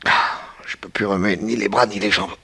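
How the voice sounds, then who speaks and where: Casting: battered captive detective, low voice, wry and probing, vintage French radio serial style. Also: male voice, steady tone, moderate pace, creaky, sharp tone, breathy edge, heavily acted. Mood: groggy, bewildered. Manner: wry, questioning, theatrical. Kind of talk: 1960s French radio drama acting.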